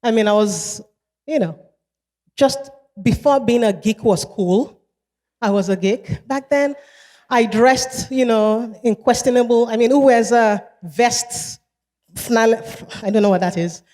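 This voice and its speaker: A woman with a Nigerian accent delivers a keynote in a large event hall, her warm, mellow voice trailing into a long reverb. She speaks in a measured way and repeats key phrases for emphasis. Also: a little high. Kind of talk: motivational keynote speech.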